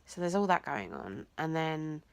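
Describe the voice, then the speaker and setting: A young woman, talking in a rambling, hesitant way in a personal vlog, nasal and croaky from blocked sinuses. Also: sits low, big pitch swings, normal speed, dry recording. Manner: rambling, hesitant, many ums and likes. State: congested, teary. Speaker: vlogger.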